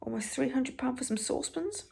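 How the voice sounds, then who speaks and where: A British woman with a raspy voice, softly reading newspaper stories for ASMR and adding wry, halting asides. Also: sits high, expressive. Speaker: ASMR creator.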